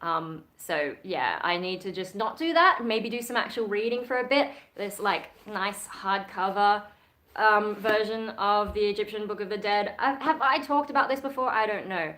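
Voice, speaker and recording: A young woman with an Australian accent talks casually through a livestream, reading viewer comments aloud and answering them as she goes. Her voice sits at a middle pitch, and she rambles with plenty of fillers. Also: lively intonation, normal speed, fairly bright tone. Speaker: YouTuber.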